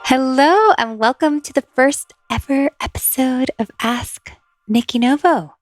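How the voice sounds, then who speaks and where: A woman's voice: high voice, unhurried, music in the background, rough recording. A woman hosting her own podcast in a chatty, upbeat way, often laughing between thoughts. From USA, New Jersey born, raised in Miami. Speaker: podcast host and intuitive reader. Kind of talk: casual solo podcast chat.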